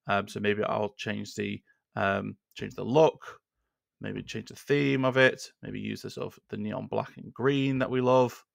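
A man in his thirties hosting a screen-share software tutorial, talking in a relaxed, conversational way with frequent fillers from a desk in a small indoor room. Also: on the low side, gravelly, dry recording, studio quality. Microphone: studio microphone on a boom arm.

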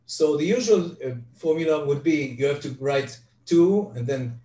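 An older Hebrew-speaking man, giving an online spreadsheet lecture from home over a headset, halting with frequent restarts. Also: a little high, mellow. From Israel. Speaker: guest presenter. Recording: echoey, distant video call audio.

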